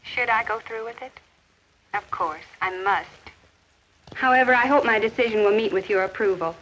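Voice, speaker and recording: An actress playing an earnest, caring high school teacher in a 1950s educational film, measured and formal in delivery. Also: female voice, a bit bright, close to an everyday voice. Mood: pensive, concerned. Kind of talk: 1950s educational film acting with inner-thought narration. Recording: dull, noisy 1950s film soundtrack.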